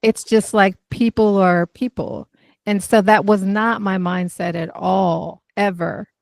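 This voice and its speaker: A woman from the United States, a podcast guest, answering in a thoughtful, measured way with small fillers. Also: medium pitch, fairly even intonation, gravelly, dry recording. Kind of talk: conversational interview.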